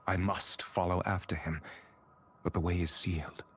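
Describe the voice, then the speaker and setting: A lone warrior hero in a fantasy game. He sounds grim and determined, very low and creaky, speaking tersely over faint background noise. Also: level tone, normal speed. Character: grim, resolute male wandering warrior hero. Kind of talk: scripted fantasy game voice acting.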